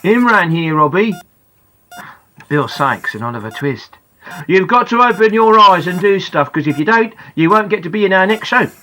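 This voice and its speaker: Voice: medium pitch, expressive, normal speed, sharp tone, rough recording. A reader voicing an eager, chatty young schoolboy.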